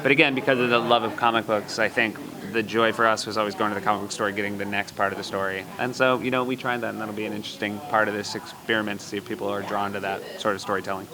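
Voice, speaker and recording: A male guest answers in a quick, easygoing way. His pitch is mid-range and his pace is brisk. A crowd is clearly audible outdoors. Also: flat delivery. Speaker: guest producer. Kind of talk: casual interview.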